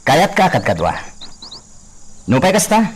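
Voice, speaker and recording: Man acting a commanding hacienda master, lively intonation. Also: on the high side, measured pace, full character voice. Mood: assertive. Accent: Ilocano. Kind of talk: Ilocano radio drama acting.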